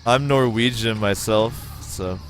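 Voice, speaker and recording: An American man streaming a game, giving excitable, profane play-by-play and chatting with viewers. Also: on the low side, takes it slowly, faint music behind. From United States. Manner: excitable, profane, talks to chat. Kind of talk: casual gameplay commentary.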